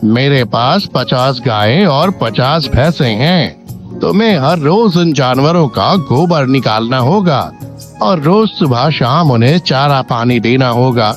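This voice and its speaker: An actor playing a rich village farmer boss in a cartoon moral story, patronizing but fair and kindly. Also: male voice, medium pitch, animated, takes it slowly, faint music behind. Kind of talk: Hindi animated moral story dialogue.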